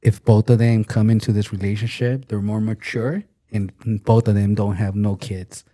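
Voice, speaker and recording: A man's voice: on the low side, level tone, moderate pace, mellow, no room sound, clean studio sound. A man in his forties co-hosting a podcast in casual chat, blunt and joking, swearing freely. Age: 40s. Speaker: podcast co-host.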